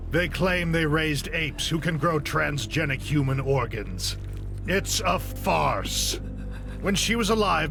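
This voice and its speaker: A grieving male zealot in a game cutscene, with a fairly high voice. He speechifies grandly as a hostage-taker convinced of his cause. Faint music plays behind him. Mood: defiant, bitter. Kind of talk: dramatic video game cutscene monologue.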